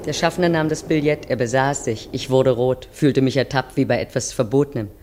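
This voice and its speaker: Weary, wistful wife. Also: female voice, extremely low pitch, a little fast, a little mellow, a little hiss or hum. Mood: nostalgic, intimate. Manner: wistful, addresses her husband directly. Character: weary, reproachful wife and mother looking back on youth. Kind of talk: radio drama monologue, intimate reminiscing.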